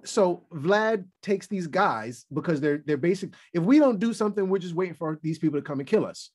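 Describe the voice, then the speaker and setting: A man co-hosting a podcast, retelling history in a casual, animated way with many restarts. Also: sits high, brisk, breathy edge. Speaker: podcast co-host. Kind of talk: casual storytelling chat.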